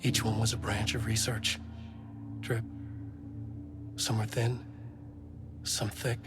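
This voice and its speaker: A man playing a brooding narrator, reading dark noir prose in grave, deliberate, short dramatic phrases. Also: fairly low pitch, level tone, music in the background. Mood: ominous. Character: brooding male narrator of dark manuscript pages.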